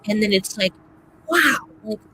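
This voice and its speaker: A woman's voice: mid-range voice, animated, measured pace, bright, forceful tone, a little hiss or hum. A woman roleplaying casually as a tabletop game player, venting in an informal, sweary way.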